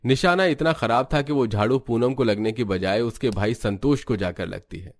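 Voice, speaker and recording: A man's voice: medium pitch, normal speed, dry recording, soft background music. A man working as narrator, reading a scripted Hindi cartoon family story.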